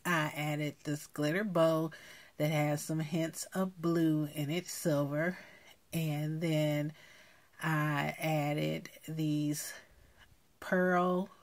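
A woman hosting a craft video, casually explaining her handmade project in a halting way. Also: low voice, flat delivery.

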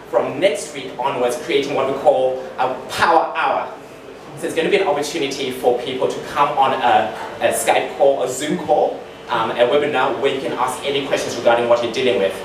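A young man from Zimbabwe, a lawyer, telling his story in an earnest, expressive way on stage at a startup meetup. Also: mid-range voice, fairly quick. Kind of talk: live stage talk with handheld mic. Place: large dark event hall, on stage. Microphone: handheld mic through PA, filmed from far. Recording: echoey hall, distant camera, noisy.

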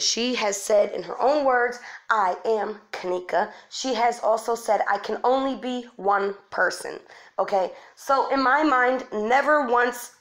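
A woman's voice: a little high, a bit bright. A woman chatting casually to her online followers, informal and repeating words for emphasis, sick and losing her voice. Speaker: online video host. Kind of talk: casual chat to followers, commentary.

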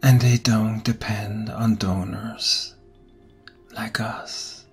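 A man playing an ancient vampire captor in a whispered ASMR roleplay, soothing yet menacing, coaxing softly and deliberately. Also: on the low side, fairly even intonation, heavily acted. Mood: earnest, intense. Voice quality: breathy whisper. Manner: slow, hushed, deliberate, coaxing. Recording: close voice over background music. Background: music.

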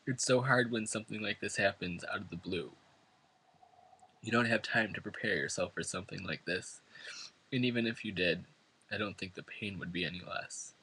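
A man's voice: lowish voice, moderate pace, bright, forceful tone, slightly rough, poor audio. A man from New York State reading a prepared eulogy at a funeral, earnest and emotional. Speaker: son giving a eulogy. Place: funeral service before family and friends.

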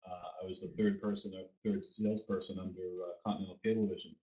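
A man's voice: low-pitched, flat delivery, fast, warm tone. A man in his fifties with a Boston accent, a guest answering questions over a video call, rambling with fillers. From Boston area. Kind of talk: interview answers. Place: home room. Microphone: video call webcam. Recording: roomy dull webcam audio.

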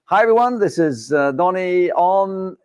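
A man's voice: high voice, lively intonation, unhurried, dry recording. Upbeat chatty male studio host. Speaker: podcast host. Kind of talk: live studio interview show. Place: studio set with dark backdrop.

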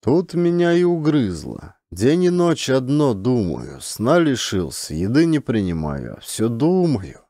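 Man acting a jealous peasant husband, lively intonation. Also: medium pitch, a little slow, few pauses, no echo, clean studio sound. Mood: pained confession. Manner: plain folksy rural speech, touchingly calm. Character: simple, jealous peasant husband confessing calmly. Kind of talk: audiobook character acting.

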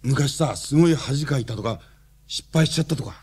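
Husky, curious man. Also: medium pitch, lively intonation, brisk, darkish tone. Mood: probing, insistent. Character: curious, reasoning man, friend of the heroine. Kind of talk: Japanese radio drama acting.

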